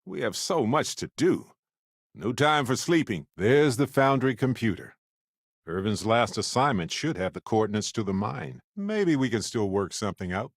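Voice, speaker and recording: A man playing an affable, chatty space explorer in a video game, with a wry, conversational and expressive delivery. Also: medium pitch, normal speed, no echo, studio quality. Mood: brisk. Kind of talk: video game character voice lines.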